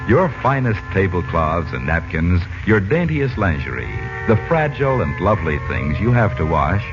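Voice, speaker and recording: Low, swooping 1940s radio announcer man. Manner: folksy, conversational salesmanship with dramatic emphasis. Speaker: radio announcer reading sponsor message. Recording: old 1940s radio broadcast, muffled. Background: music.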